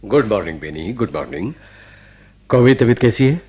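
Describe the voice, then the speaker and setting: An actor playing a genial, reassuring, avuncular hospital doctor in a radio drama, with a jovial, expressive manner. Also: male voice, lowish voice, a little fast, husky. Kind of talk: Hindi radio drama acting.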